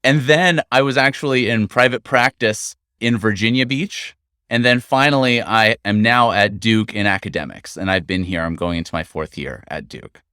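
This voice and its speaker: A middle-aged man from the United States speaks as an interview guest, measured and articulate. His voice sits low and resonant. It is recorded close and dry with no room sound. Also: sharp tone, clear, resonant tone, no room sound. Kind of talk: conversational interview. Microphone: studio microphone.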